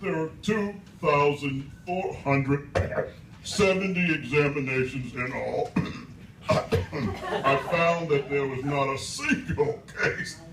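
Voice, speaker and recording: Man as earnest vintage advert expert, halting, deadpan, highish male voice, distant noisy echoey recording. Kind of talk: old cigarette advert parody. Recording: distant, echoey stage with noise.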